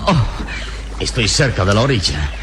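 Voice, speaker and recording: An actor playing a wounded, strong-willed hero who talks to himself through pain in a Spanish-language radio drama. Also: male voice, lowish voice, big pitch swings, moderate pace, heavy background noise. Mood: strained. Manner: weak, strained inner monologue. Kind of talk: 1960s-style radio drama acting.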